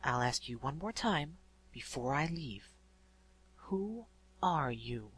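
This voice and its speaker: A man's voice: mid-range voice, animated, measured pace, fairly bright tone, slightly rough. Man voicing a surly young female griffin, exasperated. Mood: irritated. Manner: exasperated, slangy, blunt.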